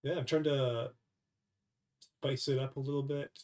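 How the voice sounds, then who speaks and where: Young male game developer, stuffy from a cold, halting casual voice-over at a desk mic. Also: mid-range voice, little pitch movement, darkish tone. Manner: hesitant, many fillers and restarts. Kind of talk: casual devlog screen recording.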